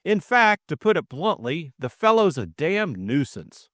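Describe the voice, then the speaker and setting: A man voicing a flippant young gentleman sleuth in an audiobook, glib, drawling and teasing. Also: fairly high pitch, big pitch swings, a little slow, no echo, studio quality, voice pushed up. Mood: amused grumbling. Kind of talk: audiobook character acting.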